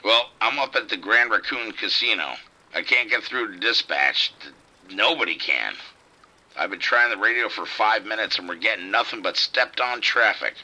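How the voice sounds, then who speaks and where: A man's voice: lowish voice, animated, moderate pace, pushed and bright, heavily acted, rough recording. An actor playing a frightened male police officer calling for help over the radio, urgent and swearing. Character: male police officer trapped in a casino outbreak. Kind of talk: audio drama acting.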